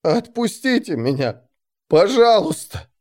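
Audiobook role: whimpering male coward acted by a man, high squeaky trembling voice, begging. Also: very animated, measured pace, a little mellow. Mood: desperate begging. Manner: pleading, stammering repetitions. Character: cornered man playing a whimpering, pleading coward.

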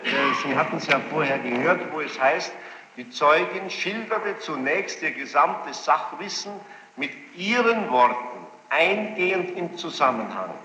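A man questioning a witness as a presiding judge, formal and probing, choosing his words deliberately with pointed challenges. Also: fairly high pitch, lively intonation, pushed and bright. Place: large reverberant courtroom. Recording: muffled, noisy archival courtroom tape, echoing hall.

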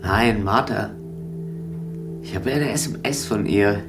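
Exasperated NGO boss, anxious, panicky, radio drama, slightly distant, music underneath. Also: male voice, fairly low pitch, slow. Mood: uneasy. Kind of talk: German radio drama acting.

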